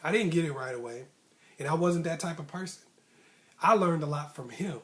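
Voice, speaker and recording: A man with a slightly high voice tells a personal story casually, like a vlogger talking to followers. His phrasing is colloquial and slangy, and he repeats lines to drive a motivational point home. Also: fairly quick, fairly bright tone.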